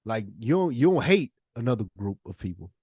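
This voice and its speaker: Guy from the us, podcast host, slangy animated opinionated chat, mid pitch, really dry recording. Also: fairly quick, breathy edge, no echo.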